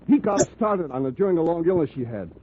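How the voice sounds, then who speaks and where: A man's voice: a little high, very animated, quick pace. A man acting a bitter, desperate war veteran bent on revenge, in intense 1940s radio drama style. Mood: bitter, agitated. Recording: narrow, noisy 1940s radio recording.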